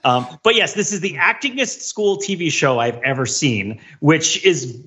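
A man's voice: on the high side, lively intonation, moderate pace, pushed and bright. A man giving casual analytical commentary on television as a podcast panelist, emphatic and digressive, acting out his examples.

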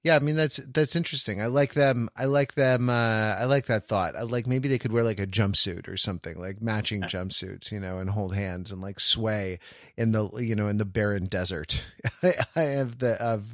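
A man hosting a casual panel podcast, musing in a halting, wry and tentative way. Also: on the low side, fairly bright tone, no echo, clean studio sound. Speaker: podcast host.

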